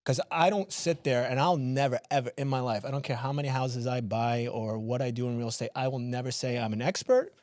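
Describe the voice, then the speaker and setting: A playful New York man hosting his podcast from a small studio, teasing and rambling with plenty of restarts. His voice sits at an ordinary middle pitch and is caught dry and close on the mic, with no room sound around it. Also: steady tone, fairly quick, hardly any pauses, darkish tone, no echo. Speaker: podcast host. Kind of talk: casual podcast chat.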